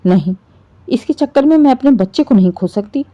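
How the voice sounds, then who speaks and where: A woman's voice: expressive, fairly quick, dull audio. A woman voicing a bitter, possessive middle-aged mother-in-law in a dramatic story, scolding and complaining. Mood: anxious, suspicious. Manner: shrill indignant complaining, sarcastic.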